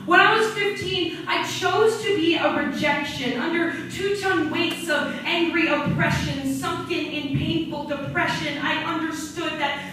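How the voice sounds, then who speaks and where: A young woman recites slam poetry in a high voice. Her delivery is dramatic and emphatic. She is some distance from the microphone in a very echoey room, with music clearly audible. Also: unhurried, hardly any pauses, a bit bright. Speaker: spoken-word poet. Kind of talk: slam poetry recitation with casual spoken introduction. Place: large echoey indoor room at a retreat. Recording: very echoey room, far from mic.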